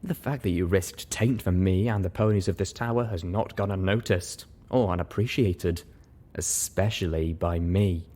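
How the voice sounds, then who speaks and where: A man voicing an affectionate, reassuring adult pony mare in an audiobook, speaking in a soft, tender, teasing way. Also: low voice, normal speed.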